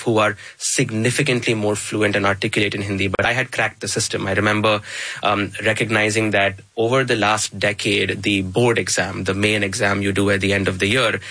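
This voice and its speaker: Man, Tamil speaker, slightly low pitch, bright forward tone, articulate interview guest, dry recording. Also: no echo, low-quality recording. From Chennai, South India. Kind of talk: reflective conversational interview.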